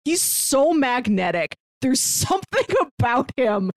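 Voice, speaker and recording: A woman's voice: high-pitched, big pitch swings, measured pace, dry recording, studio quality. A woman co-hosting a podcast, talking in a chatty, enthusiastic way in a casual review conversation. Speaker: podcast co-host.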